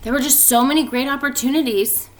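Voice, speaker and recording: A woman speaking as a teacher doing a storybook read-aloud for children, expressive and emphatic in her delivery. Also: sits high, fast talker, a little hiss or hum. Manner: animated, expressive delivery with emphatic stretched words.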